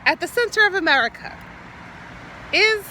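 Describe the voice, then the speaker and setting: A woman poet performing an improvised spoken-word monologue outdoors, theatrically, in short halting phrases with repeated words. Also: extremely high pitch, expressive, pushed and bright, slight background noise, low-quality recording. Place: outdoors, standing or walking.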